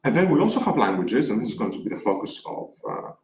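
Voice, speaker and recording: Man, professor giving a plenary lecture, lowish voice, distant mic, echoey hall, faint background hiss. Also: wildly swooping pitch, quick pace, gravelly, a little mellow. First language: Greek. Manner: explanatory, poses rhetorical questions to the audience.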